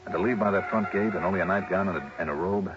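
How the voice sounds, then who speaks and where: A shrewd, hard-boiled private investigator played by a man in an old radio drama. The voice sits on the low side, with clipped, wry, probing delivery. The recording is dull and vintage, with music clearly present. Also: quick pace, lightly acted. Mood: probing, dry. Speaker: star of the show. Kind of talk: 1950s-60s radio drama acting and first-person narration.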